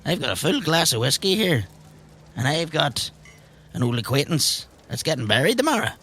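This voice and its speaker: A man playing a grumpy, evasive old man at a pub, halting and defensive, with an or lilt, in improvised tabletop roleplay. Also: a little high, big pitch swings, pushed and bright, husky, exaggerated voice. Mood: curt, indignant. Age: older. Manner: halting, defensive, repeats himself.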